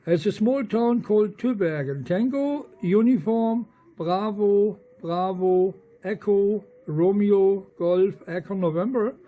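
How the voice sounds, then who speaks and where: A man with a Dutch accent making a ham radio contact in halting English, spelling things out with the phonetic alphabet. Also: high voice, phone-quality sound. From eastern Netherlands. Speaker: amateur radio operator.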